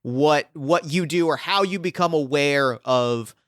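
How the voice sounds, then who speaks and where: A man's voice: on the high side, measured pace, a bit bright, no room sound, studio quality. A man hosting as game master, improvising narration for a tabletop roleplaying game with enthusiasm, often repeating words as ideas form. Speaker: game master, podcast host.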